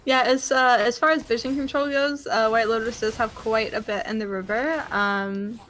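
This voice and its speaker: Female color commentator, slightly high voice, hesitant, filler-heavy delivery. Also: fairly even intonation, moderate pace, fairly bright tone, slight background noise. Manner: hesitant, many ums and uhs, giggly. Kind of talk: esports analysis commentary.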